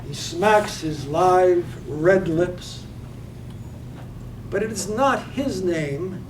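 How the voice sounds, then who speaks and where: A man as a high-voiced, slow poetic narrator. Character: lyrical, measured storyteller of a night-time town. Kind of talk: dramatic poetic narration, staged reading. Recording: roomy, distant mic, faint noise.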